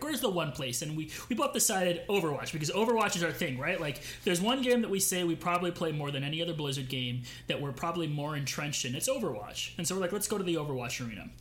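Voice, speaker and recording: A young man with a fairly high, bright voice tells a long story in a casual podcast chat. He keeps restarting his sentences and leans on fillers as he goes. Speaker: podcast co-host.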